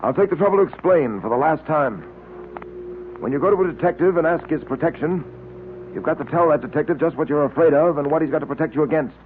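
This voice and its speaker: Male actor as blunt detective, very quick and authoritative, narrow old-radio sound. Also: on the high side, natural voice. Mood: stern, blunt. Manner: clipped, authoritative, no-nonsense. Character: brisk, blunt, level-headed male police detective. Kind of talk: old-time radio drama acting. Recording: tinny old radio recording, light noise.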